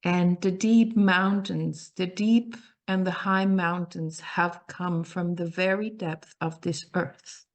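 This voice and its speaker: A woman from Costa Rica sharing gently and reflectively on a group meditation call, with soft pauses. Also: lowish voice, fairly even intonation.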